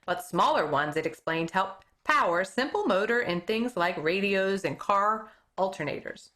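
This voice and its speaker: A woman teacher reading a children's book aloud in an expressive way, now and then stumbling over a word and correcting herself. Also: medium pitch, moderate pace.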